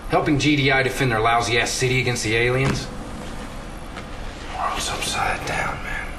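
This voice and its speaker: An actor playing a cynical but loyal military officer in a video game cutscene, with a colloquial, indignant way of talking. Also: male voice, mid-range voice, fairly even intonation, normal speed, noisy background, natural voice. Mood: sardonic.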